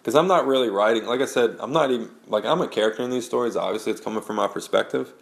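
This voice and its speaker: A man's voice: mid-range voice, very fast, creaky, reverberant. A man recording a casual audio blog about memoir writing, talking through a congested head cold and rambling with many restarts. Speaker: blogger and memoir writer.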